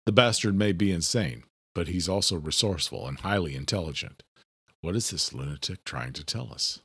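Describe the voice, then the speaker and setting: A man's voice: deep voice, lively intonation, moderate pace, a bit bright, breathy edge, dry recording, voice pushed down, full character voice. A man playing a protective, level-headed brother in an audiobook, speaking in a subdued, reassuring way. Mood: troubled. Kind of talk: audiobook character acting.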